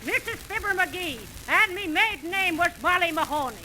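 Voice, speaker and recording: An actress playing a feisty, sharp-tongued wife with quick, scolding comebacks in old radio comedy style. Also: female voice, extremely high pitch, animated, full character voice. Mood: indignant. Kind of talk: 1930s-40s radio comedy acting. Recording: noisy old radio broadcast recording.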